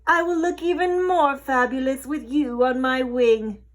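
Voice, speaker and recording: A woman voicing a vain, pompous male rooster in a storybook, boastful and quick to temper. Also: high-pitched, steady tone, pitched above the performer's own voice. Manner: boastful, crooning, flamboyant. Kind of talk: storybook character voice.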